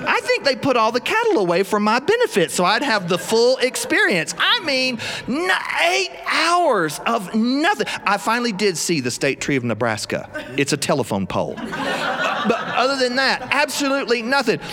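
A man telling a comic story live, theatrically, with exaggerated emphasis and drawn-out phrases. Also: extremely high pitch, wildly swooping pitch, pushed and bright, a little hiss or hum. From South Carolina. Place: studio, recorded live.

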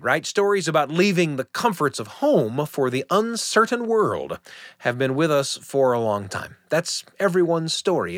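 A man's voice: mid-range voice, fairly bright tone, dry recording, studio quality. A man hosting a radio storytelling show, narrating in a scripted but conversational, wry way. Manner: conversational, wry asides to the listener.

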